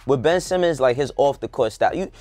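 A man's voice: mid-range voice, expressive, a little mellow, dry recording, faint music behind. A man in his thirties, a guest on a studio panel, chatting in an easygoing way. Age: 30s. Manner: easygoing, quick-talking. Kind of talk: casual panel chat. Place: studio set.

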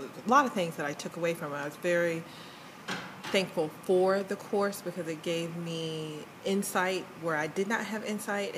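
Female teacher, lower voice, brisk candid testimony, noisy library room. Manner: quick, candid, self-correcting. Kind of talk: casual interview testimony. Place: school library.

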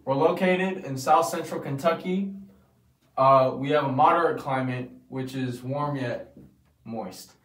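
Teen boy, student presenter, halting read-aloud, roomy and off-mic. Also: mid-range voice, recorded from a distance. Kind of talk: school project narration.

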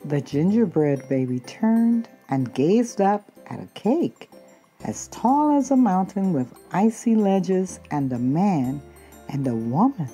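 A woman's voice: on the low side, warm tone. A woman reading a children's picture book aloud expressively, drawing out key words. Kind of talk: storybook read-aloud.

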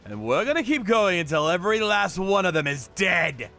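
An actor playing a hot-headed, boastful young yakuza with an emphatic, combative delivery in a scripted anime dub. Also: male voice, sits high, sing-song, moderate pace, creaky, sharp tone, faint music behind, heavily acted. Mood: angry.

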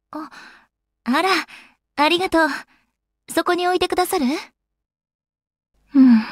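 A woman's voice: sits high, no room sound, studio quality. A woman playing an elegant, doting young goddess in an anime game, speaking in polite, ladylike phrases. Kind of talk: Japanese anime game voice acting.

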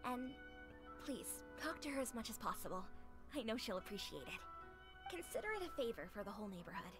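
A woman's voice: medium pitch, expressive, brisk, over music, close to an everyday voice. A woman playing a friendly, chatty owner of a humble eatery in a video game, with an easygoing, confiding, neighborly manner.

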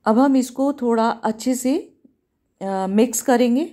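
A woman cooking-channel host, giving a calm, step-by-step recipe voice-over in a home kitchen. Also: on the high side, measured pace. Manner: calm, instructive, repeats steps.

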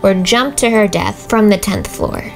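A woman's voice: mid-range voice, big pitch swings, measured pace, creaky, soft background music. A young woman hosting a ghost hunting vlog from a small hotel room, chatting in a bubbly, jokey, hyper way. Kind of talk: casual chat.

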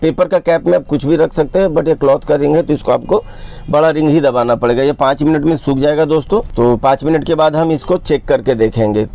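A man's voice: on the high side, a little fast, vocal fry, warm tone. A man with an Indian accent giving a casual step-by-step tutorial, explaining plainly and repeating his instructions. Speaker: repair tutorial host.

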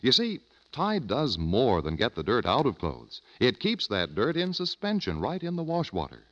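A male announcer delivers an old-time radio advertisement. His polished, emphatic pitch rises and falls in a lively way at a medium pitch. The recording is slightly muffled. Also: moderate pace. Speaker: commercial announcer.

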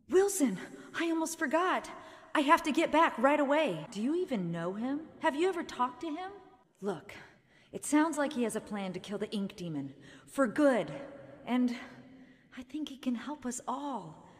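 A woman voicing an earnest, resolute young heroine in a video game, with emotive, deliberate delivery. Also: sits high, big pitch swings, long reverb tail. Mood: urgent, pleading.

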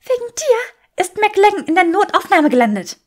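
Lady doing an angry young witch in an audiobook, high voice, really fired up. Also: sing-song, moderate pace. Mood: angry, indignant. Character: indignant, combative young witch in a fantasy story.

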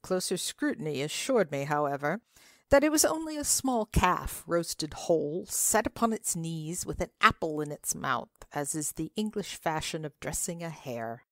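A woman tells a classic story in a dramatic reading. Her voice sits fairly low and moves slowly, with lively pitch movement, and the recording is dry and close. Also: no echo. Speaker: reader of a classic tale.

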